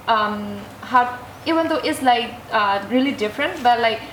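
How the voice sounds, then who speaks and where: A young woman, a student guest answering interview questions hesitantly, filling pauses with "like" and "um". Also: a little high, a little hiss or hum. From Myanmar. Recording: roomy echo.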